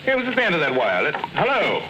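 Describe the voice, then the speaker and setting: An actor playing a menacing, impatient husband with clipped, theatrical old radio drama delivery. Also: male voice, on the high side, wildly swooping pitch, quick pace. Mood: impatient, suspicious. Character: menacing, impatient husband, domineering and easily rattled. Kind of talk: 1940s radio drama acting. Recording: noisy vintage radio recording.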